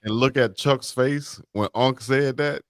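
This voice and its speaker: A man's voice: medium pitch, unhurried. A male co-host in casual banter, laughing often and teasing the others.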